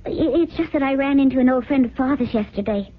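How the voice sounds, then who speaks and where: An actress playing a naive, trusting, genteel wife with a hesitant, fretful manner in a 1970s radio drama. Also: female voice, high voice, fast. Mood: anxious, hesitant. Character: naive, trusting, anxious well-bred wife. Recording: muffled phone-like old radio recording.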